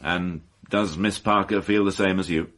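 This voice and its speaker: An actor playing a polite, probing police inspector in a radio drama, with formal, measured questioning. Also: male voice, deep voice, fairly even intonation, a bit bright.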